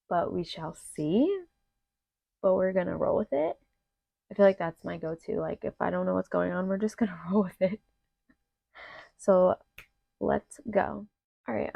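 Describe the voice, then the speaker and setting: A woman's voice: medium pitch, vocal fry, dry recording. A young woman chatting through a makeup tutorial in a casual, filler-heavy way, sounding a little nasal from allergies. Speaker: beauty vlogger. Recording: slightly muffled dry home recording.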